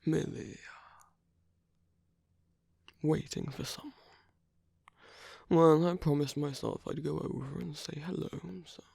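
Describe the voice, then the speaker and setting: A man playing a suave, sly aristocratic villain in an ASMR roleplay, speaking in a soft, breathy, often near-whispered voice with languid, teasing politeness and veiled menace. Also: on the high side, steady tone, unhurried, long pauses, dry recording, clean studio sound, heavily acted. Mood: feigned polite boredom. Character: suave sly aristocratic villain, flirting and secretly scheming at a masquerade. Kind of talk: ASMR roleplay, intimate scripted acting.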